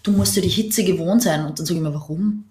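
A woman's voice: lowish voice, fast, darkish tone. Earnest woman in an echoey room. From Austria. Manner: earnest, long winding explanations. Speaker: podcast co-host. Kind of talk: casual conversation.

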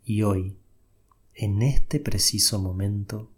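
Slow, breathy man guiding meditation. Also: lowish voice. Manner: soft, unhurried instructions with long pauses. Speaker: meditation guide. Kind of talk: guided meditation.

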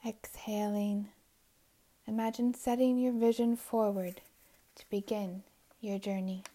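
A woman narrates a guided meditation at a slow, unhurried pace. Her voice is relaxed and mellow, at a medium pitch. Phrases come with long pauses between them and trail off softly and breathily.

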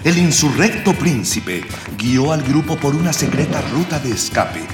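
A man's voice: a little high, animated, normal speed, close to an everyday voice. A man playing a florid, mock-heroic narrator of a space adventure radio play, speaking in solemn literary style. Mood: grandiose. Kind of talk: scripted radio drama narration. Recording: echoey reverberant sound with music underneath.

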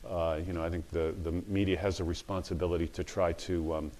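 A man speaking on a panel in unscripted remarks, halting, with many fillers and restarts. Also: deep voice, little pitch movement, a little mellow.

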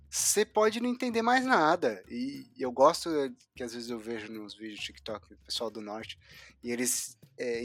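A woman, chatting in a lively, informal, slangy way. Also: sits low, dry recording. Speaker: conversation partner. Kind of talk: casual conversation.

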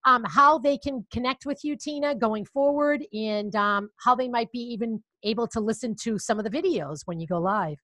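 A woman's voice: on the high side, moderate pace, few pauses, fairly bright tone, dry recording, clean studio sound. A woman podcast host with a Boston accent, upbeat and chatty, encouraging her guest in a casual interview.

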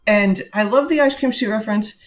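A woman's voice: mid-range voice, brisk. A middle-aged woman from the New York area, co-hosting a comedic book podcast with sarcastic, joking banter and long stories. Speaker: podcast co-host. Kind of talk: casual comedic book chat.

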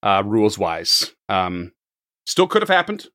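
A hoarse man acting as game master on a tabletop podcast, rambling and laughing a lot between restarts. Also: fairly low pitch, wildly swooping pitch, a little slow, bright, forceful tone, dry recording, clean studio sound.

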